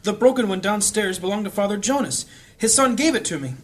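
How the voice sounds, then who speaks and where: An actor playing an earnest, solemn priest in a fantasy audio drama, speaking gravely. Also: male voice, high voice, lively intonation, fairly quick. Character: earnest, guilt-ridden priest, a solemn holy man.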